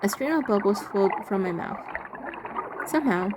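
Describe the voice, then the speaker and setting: A woman's voice: medium pitch, animated, normal speed, noisy background. A woman hosting a game playthrough, reading narration aloud and adding casual commentary. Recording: voice over loud music. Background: game music.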